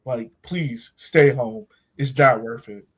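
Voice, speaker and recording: A man's voice: medium pitch, slow, breathy edge. A man hosting a sports fan podcast, chatting casually in an informal, slangy, enthusiastic way. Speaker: podcast host.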